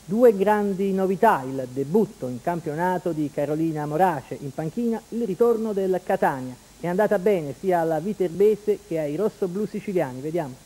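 A man's voice: sits high, lively intonation, moderate pace, few pauses, mellow, poor audio. A middle-aged man presenting sports news from a TV studio. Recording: hissy old TV recording.

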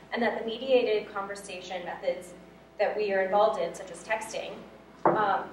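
A woman's voice: medium pitch, distant mic. Young woman presenting in an echoey room. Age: young adult. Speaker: student presenter. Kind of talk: prepared presentation. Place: lecture room, indoors. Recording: echoey room from afar, faint noise.

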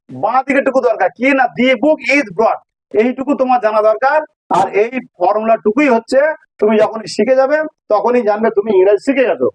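A man's voice: very high-pitched, no room sound, poor audio. A man with an electronically altered voice, explaining grammar step by step as a teacher, repeating key phrases. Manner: didactic, repeats key phrases and checks understanding. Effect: voice changer. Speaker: English teacher.

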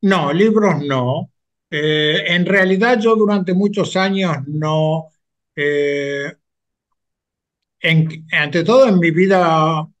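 A man, a retired sociologist answering interview questions over a video call with a headset, measured and hesitant, with frequent pauses. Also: on the high side, muffled recording. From Argentina. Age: 70s. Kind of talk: slow, thoughtful interview answers. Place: home room with bookshelves.

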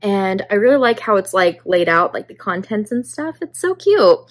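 A woman's voice: medium pitch, very animated, normal speed. A young woman chatting to the camera in a casual vlog, filler-heavy and laughing now and then, seated in her living room. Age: young adult. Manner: chatty, lots of "like", laughing asides. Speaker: book vlogger.

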